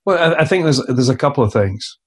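A man, an author being interviewed, speaking earnestly and haltingly with many restarts. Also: mid-range voice, animated, breathy edge. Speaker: author guest. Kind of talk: reflective interview conversation.

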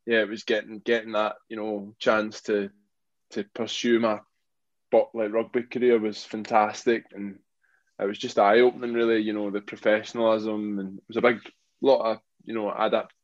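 A man's voice: on the low side, level tone, normal speed, no room sound, highs cut off. A young Glaswegian man, a rugby player turned boxer, answering interview questions in a rambling way, full of fillers and repeated phrases. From Scotland.

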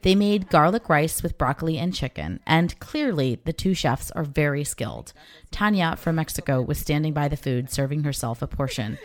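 A woman reporter reading scripted documentary narration with an expressive delivery. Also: low-pitched, moderate pace.

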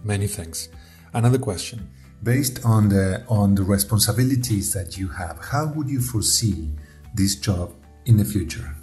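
An interviewer presenting a prepared interview and putting questions in measured, formally phrased English. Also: low-pitched, warm tone, faint music behind.

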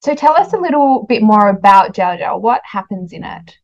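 Female podcast host, conversational interviewer, mid pitch, slightly dull recording. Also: sing-song, normal speed, darkish tone. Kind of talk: podcast interview, warm book chat.

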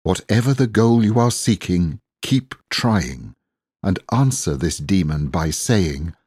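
A man reading inspirational verse aloud in a deliberate, earnest, exhorting manner. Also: sits low, lively intonation, a little mellow, breathy edge, no echo, clean studio sound. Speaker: poetry reader. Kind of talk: measured inspirational poetry recitation.

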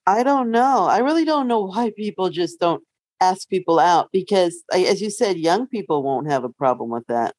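A woman's voice: on the low side, expressive, moderate pace, a little mellow, no room sound. A middle-aged woman co-hosting a podcast in casual banter, giving direct, playful advice. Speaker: podcast co-host.

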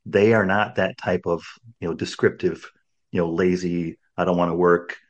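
Low husky older man, rambling podcast host. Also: steady tone, normal speed, dull audio. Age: 60s. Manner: rambling, many restarts and "you know"s. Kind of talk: casual podcast conversation.

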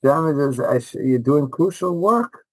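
A man's voice: on the high side, animated, unhurried, husky, no room sound, low-quality recording. A middle-aged man, a rabbi and teacher answering interview questions conversationally, enthusiastic and emphatic, repeating phrases and often restarting.

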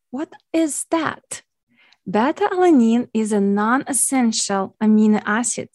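A woman with a clear Ukrainian accent talks through her podcast in English, her second language. She sounds earnest and thankful, and her phrasing is plainly non-native. The recording is dry and close, with almost no room sound. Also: a little high, a little mellow, dry recording. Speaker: podcast host, nutrition coach. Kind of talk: solo podcast monologue.

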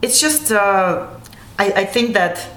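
A woman who grew up in Russia, talking earnestly as an interview guest, often halting and restarting. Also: medium pitch, expressive, fairly bright tone. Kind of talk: casual conversation. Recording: echoey room with faint noise.

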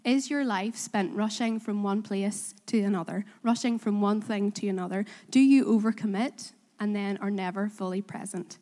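A woman's voice: medium pitch, moderate pace, hardly any pauses, mellow. A woman with a Korean accent preaching a sermon in church, earnest and self-deprecating, asking the congregation questions. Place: church in Belfast. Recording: reverberant hall.

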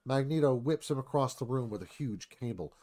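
A man's voice: mid-range voice, expressive, brisk, dark tone. A man reading scripted stage directions as the narrator of a live radio theatre performance.

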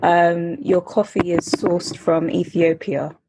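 A woman's voice: fairly low pitch, flat delivery, slow pace, very noisy. A woman interviewing a guest, asking questions in a casual way with many fillers.

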